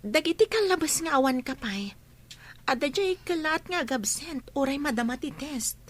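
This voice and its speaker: A woman's voice: high voice, animated, normal speed, slight background noise. A woman with an Ilocano accent playing a chatty, admiring young student in an audio drama. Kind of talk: Ilocano radio drama acting.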